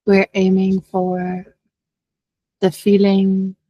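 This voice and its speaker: Slow, even-toned woman guiding meditation. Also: mid-range voice, muffled recording. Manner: gentle, unhurried, with long pauses. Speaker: meditation teacher. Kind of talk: guided meditation.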